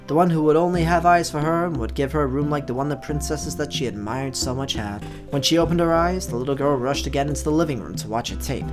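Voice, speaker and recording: A mid-pitched voice belonging to a let's play host, with music clearly playing underneath. The delivery is that of an expressive storyteller sharing a visual novel with viewers. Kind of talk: expressive story narration, read aloud. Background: background music.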